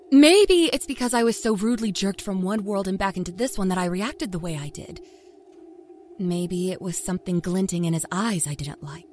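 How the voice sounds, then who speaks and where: A woman's voice: medium pitch, a bit bright, a little hiss or hum. A woman playing a candid, wry narrator who recalls a grim childhood killing in a dramatic first-person horror story.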